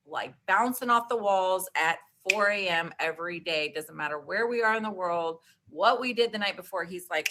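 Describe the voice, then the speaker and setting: A woman in her forties, a podcast guest, talking in an animated, chatty way with lots of "like.". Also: fairly low pitch, a little hiss or hum. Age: 40s. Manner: animated, talkative, frequent "like". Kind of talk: casual interview chat.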